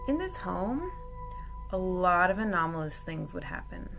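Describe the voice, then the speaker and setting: Woman telling stories theatrically over clear music. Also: fairly low pitch, sing-song, normal speed. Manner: dramatic, playful framing with informal asides. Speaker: storyteller host. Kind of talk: theatrical personal storytelling to camera.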